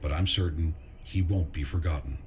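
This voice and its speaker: Weary deep-voiced army major. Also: male voice. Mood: solemn, formal. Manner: solemn, measured, weary. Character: weary, grieving army major reading his farewell letter. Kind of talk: dramatic scripted monologue in a military video game. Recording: music under the voice.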